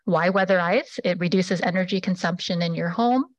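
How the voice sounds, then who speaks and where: A woman presenting a public assistance program at a public meeting, pausing often with fillers and restarts. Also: on the low side, no echo. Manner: halting, many fillers and restarts.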